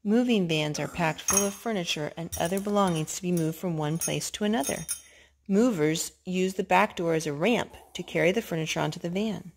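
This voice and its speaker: A woman reading children's picture books aloud in a gentle, animated storytime voice, stopping to talk with the children. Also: fairly low pitch, fairly quick. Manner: gentle, animated read-aloud voice, talking to the children between pages. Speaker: storytime reader.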